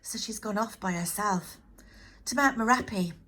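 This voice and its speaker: Young male storybook hero, female reader acting: high, eager, breathless, earnest, pleading. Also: moderate pace, fairly bright tone, natural voice.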